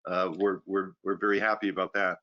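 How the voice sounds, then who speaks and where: A man's voice: low voice, level tone, brisk, dull audio. A man hosting a live question session, talking in an easygoing way with fillers. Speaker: event host. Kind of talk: casual moderating.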